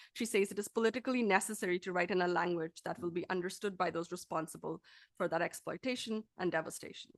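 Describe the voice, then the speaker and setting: A woman lecturer reading a scripted academic talk aloud in a formal, measured, articulate manner. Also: mid-range voice, a bit bright, no echo.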